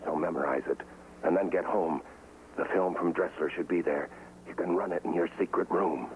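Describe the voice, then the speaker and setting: Male actor for guarded, earnest undercover operative, 1950s TV drama. Low voice, terse, matter-of-fact. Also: steady tone, normal speed, close to an everyday voice, poor audio. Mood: tense, grave. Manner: clipped, serious, matter-of-fact. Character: guarded, earnest man working undercover inside a communist cell. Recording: old 1950s TV soundtrack, some noise.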